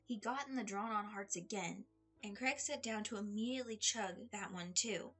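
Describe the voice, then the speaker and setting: Slightly husky woman narrating a story over music. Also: medium pitch, normal speed, fairly bright tone. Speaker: fan fiction reader. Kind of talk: expressive audiobook-style story narration. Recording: music under the voice. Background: background music.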